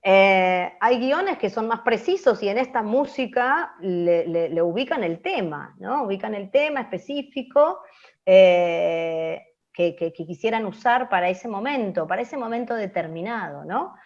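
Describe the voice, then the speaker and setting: A woman with an Argentine accent teaching an open class, explaining off the cuff and often pausing to check that listeners follow. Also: medium pitch, lively intonation. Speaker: radio drama teacher. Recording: dull, muffled audio.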